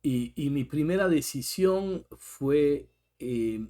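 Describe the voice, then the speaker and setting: High-voiced man. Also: expressive, slow pace, dark tone. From French-speaking country. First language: French. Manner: thoughtful, with restarts. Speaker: podcast co-host. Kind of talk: reflective conversation.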